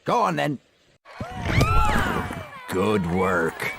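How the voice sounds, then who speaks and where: Fantasy game role: gruff half-giant teacher, low and unhurried, folksy, encouraging. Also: male voice, sing-song, very noisy, heavily acted. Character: gruff kindly half-giant groundskeeper teaching a creature class.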